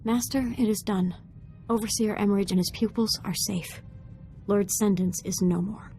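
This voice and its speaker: An actress playing a young, earnest, troubled Sith apprentice in a video game, speaking gravely and formally. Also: female voice, medium pitch, steady tone, normal speed, a little mellow, faint music behind, natural voice. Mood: solemn, drained. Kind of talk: dramatic video game voice acting.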